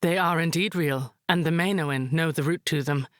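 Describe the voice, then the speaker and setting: A woman playing a proud, resolute high priestess, formal and composed, in a visual novel drama. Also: moderate pace, no echo, clean studio sound, natural voice. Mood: urgent, commanding.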